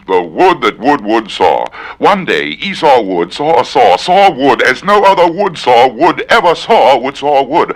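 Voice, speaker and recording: Theatrical man reciting, very animated pitch. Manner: precise, dramatic enunciation. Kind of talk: theatrical tongue-twister recitation.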